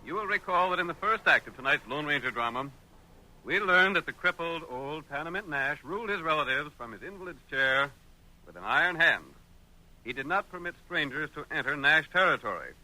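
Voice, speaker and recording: Very bright, forceful vintage radio announcer man. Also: a little high, lively intonation, a little fast, low-quality recording. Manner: dramatic, formal announcing. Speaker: announcer and narrator. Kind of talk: scripted old-time radio narration. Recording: vintage radio broadcast recording.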